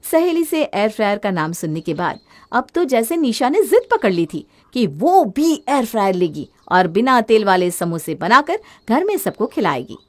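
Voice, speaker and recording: High-voiced woman storyteller. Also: very animated, normal speed. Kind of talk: scripted narration of a Hindi moral story.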